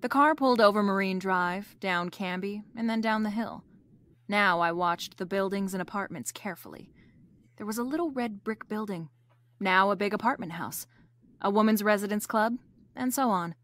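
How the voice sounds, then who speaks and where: An actress plays a female private detective from a noir radio drama. She is brisk, bossy and quick with a wisecrack. Her voice sits at a middle pitch in a very dry recording. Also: fairly bright tone, no echo, lightly acted. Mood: wry, narrating.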